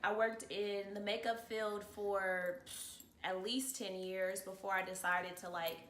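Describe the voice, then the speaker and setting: A woman's voice: medium pitch, fairly even intonation, measured pace, a bit bright. A woman of thirty-one talking casually about herself as an interview guest. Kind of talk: casual personal chat. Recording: echoey room with faint noise.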